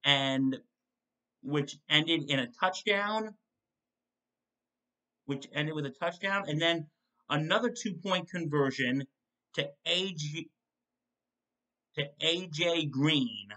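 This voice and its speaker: A young man hosting a solo football postgame recap from his home kitchen, reading stat lines in quick lists and correcting himself as he goes. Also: fairly high pitch, sharp tone, poor audio. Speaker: sports show host. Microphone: desk mic in front of a webcam.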